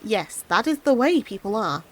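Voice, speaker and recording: A woman narrating a fairy tale in an expressive storybook style. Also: mid-range voice, moderate pace. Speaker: audiobook narrator and streamer.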